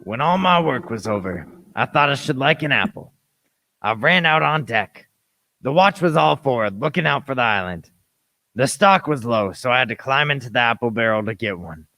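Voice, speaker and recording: A cabin boy narrator played by a man tells a sea adventure for radio. The voice is forceful and bright, with a creaky edge at medium pitch. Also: animated, moderate pace. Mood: storytelling. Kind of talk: scripted dramatic narration.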